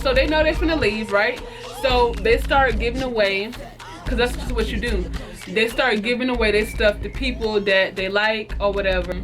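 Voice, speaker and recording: A woman with a fairly high voice tells a casual story to her viewers. She is sassy and slangy, stretching words for emphasis and slipping into mimicry. Music plays clearly behind her. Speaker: storytime vlogger. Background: background music.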